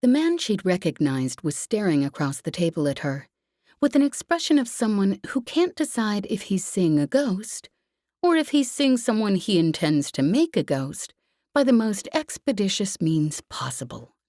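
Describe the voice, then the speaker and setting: A woman's voice: mid-range voice, big pitch swings, normal speed, mellow, dry recording. A woman reading scripted fiction as an audiobook narrator, recorded close. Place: dry, close recording space.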